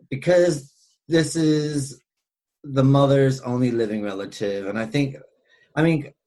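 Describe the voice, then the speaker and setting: A man with an average-pitched voice talks as a podcast co-host. He discusses things casually and wanders through anecdotes, leaning on "like" and "you know" as he goes.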